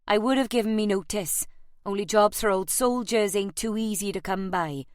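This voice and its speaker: A woman's voice: mid-range voice, moderate pace, dry recording, clean studio sound, natural voice. A woman voicing a humble, eager male ex-soldier, deferential and plain-spoken, in an audiobook. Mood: disgusted.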